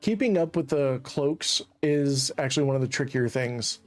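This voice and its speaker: A man's voice: medium pitch, animated, normal speed, soft background music. Chatty man streaming a game. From Central Virginia, USA. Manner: rambling, chatty, laughs at his own jokes. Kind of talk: casual gameplay commentary.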